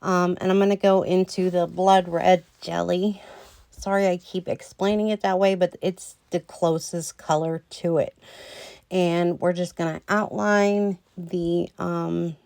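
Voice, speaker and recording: Slow, gravelly woman explaining nail art. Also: fairly low pitch, little pitch movement, darkish tone, no room sound. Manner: slow, rambling, many ums. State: losing her voice a little. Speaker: nail tutorial host. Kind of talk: casual voice-over tutorial.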